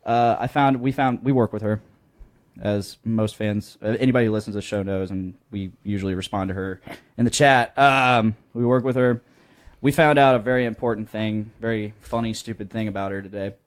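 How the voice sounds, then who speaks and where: A man's voice: lowish voice, brisk. A man in his twenties co-hosting a podcast, chatting casually and telling stories in a joking, sweary way. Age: 20s. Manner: joking, profane. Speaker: podcast co-host. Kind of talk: casual chat and storytelling.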